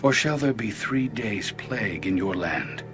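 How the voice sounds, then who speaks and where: An actor playing a grave messenger of God in a dramatized scripture reading, measured, stern and solemn. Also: male voice, fairly low pitch, expressive, over music. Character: grave male messenger delivering God's stern choice.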